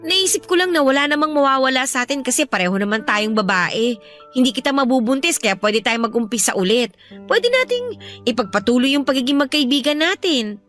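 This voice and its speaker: A guilty young Filipino woman in a radio love drama. She pleads and coaxes in a high voice, apologetic yet evasive, over soft music. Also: animated, brisk. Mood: pleading. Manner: coaxing, apologetic, persuasive. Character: pleading, evasive young woman, two-timing lover seeking forgiveness.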